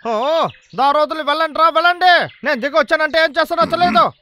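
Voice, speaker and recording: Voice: sits high, a little fast, pushed and bright. An actor voicing a grumpy, blustering male lumberjack in a kids' cartoon, scolding and exclaiming. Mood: angry. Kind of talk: dubbed kids' cartoon acting.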